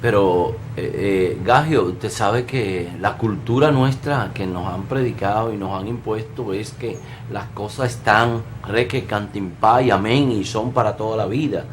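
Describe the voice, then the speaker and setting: A man's voice: lowish voice, measured pace, few pauses, a little hiss or hum. A man hosting a studio TV interview, introducing his guest effusively and leaning on "eh" fillers. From Dominican Republic. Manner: effusive, filler-heavy, fond of "eh". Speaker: TV talk show host. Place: local TV studio.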